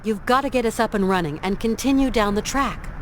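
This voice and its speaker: A woman's voice: a little high, fairly quick, slight background noise, close to an everyday voice. A woman playing a plucky, polite adventurer in a video game, with a wry, conversational delivery. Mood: urging.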